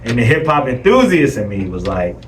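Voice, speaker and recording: A man's voice: medium pitch, very animated, a little fast. A man in a casual debate, speaking in conversational slang and carefully qualifying what he says. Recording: roomy, noisy, distant-mic recording.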